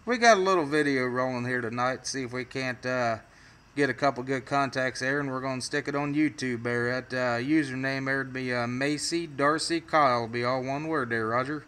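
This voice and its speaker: A man's voice: medium pitch, normal speed, smooth. An American man working a CB radio, talking in a folksy way full of radio jargon as he makes on-air contacts. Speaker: CB radio operator. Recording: loud radio static and noise. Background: radio static and noise.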